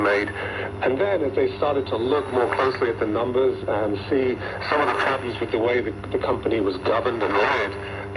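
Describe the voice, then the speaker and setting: A man speaking as a journalist being interviewed, explaining things off the cuff, heard over shortwave radio. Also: on the low side. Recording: noisy shortwave radio reception.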